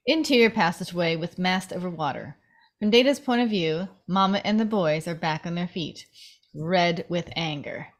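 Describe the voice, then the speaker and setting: Female narrator for a table read: mid-range voice, reading scene directions. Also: medium pitch.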